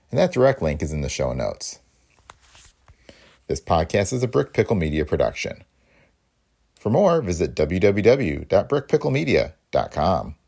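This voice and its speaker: A man with a very low, gravelly voice presents a scripted podcast narration. His delivery is animated, rising and dipping across each phrase as a host would.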